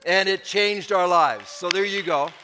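A man's voice: high-pitched, a little slow, sharp tone, big-room sound, soft background music, a faint crowd. An older man, the church's pastor and podcast host, welcoming listeners and rambling through an introduction of the guest speaker.

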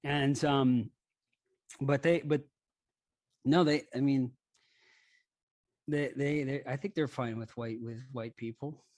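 A man's voice: medium pitch, fairly even intonation, slow, pauses often, darkish tone. A man who grew up in Hawaii, chatting casually and telling rambling anecdotes with many restarts. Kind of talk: casual chat.

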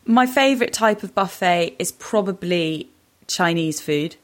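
Woman, British accent, slightly low pitch, casual anecdotal chat, podcast co-host. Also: expressive, a little slow.

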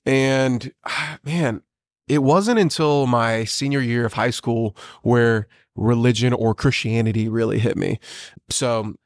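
Animated storytelling man in a dry room. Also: mid-range voice, takes it slowly, no room sound. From grew up on US military bases. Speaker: pastor and bartender guest. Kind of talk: casual interview chat. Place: coffee shop.

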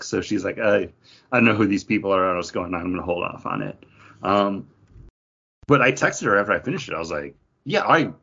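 A man's voice: lowish voice, a bit bright, breathy edge, highs cut off. A man co-hosting a podcast, chatting casually in a comic book review. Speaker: podcast co-host.

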